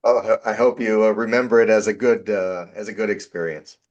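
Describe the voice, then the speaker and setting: A man with an American accent, talking casually from his home office on a video call, measured and often repeating words as he finds his phrasing. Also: on the low side, darkish tone, muffled recording. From Israel. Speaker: potential client.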